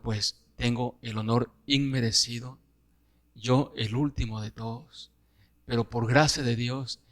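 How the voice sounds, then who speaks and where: A man, a priest, leading a solemn, measured prayer and then introducing a guest to his congregation. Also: medium pitch, vocal fry.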